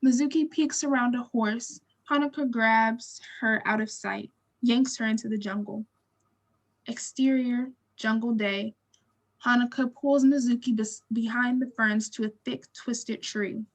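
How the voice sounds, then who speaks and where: A woman narrating stage directions from a script in a measured, neutral, descriptive way. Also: a little high, fairly even intonation, low-quality recording.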